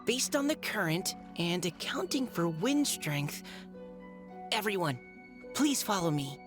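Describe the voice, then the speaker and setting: A woman's voice: on the low side, very animated, unhurried. A woman voicing a composed, knowledgeable young adventurer in a video game. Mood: focused. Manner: explanatory, composed. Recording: music behind.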